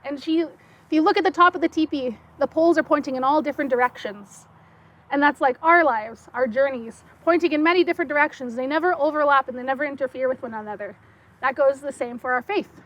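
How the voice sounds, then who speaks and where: A young woman speaking English with a slight French accent, giving an excitable conference talk with quick bursts and many fillers. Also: sits high, rough recording. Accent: French-tinged Canadian English. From Saskatchewan, Canada. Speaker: guest speaker.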